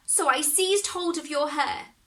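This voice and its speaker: A woman voicing the devil's old grandmother in a storybook, brisk, commanding and scolding, yet pitying. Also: high voice, fairly bright tone, voice pushed up. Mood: feigned innocence.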